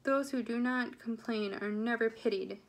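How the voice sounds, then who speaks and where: A woman's voice: a little high, moderate pace, voice pushed up, close to an everyday voice, poor audio. A woman reading a storybook part as a querulous, self-pitying middle-aged mother, complaining with insistent emphasis. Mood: scolding, self-pitying.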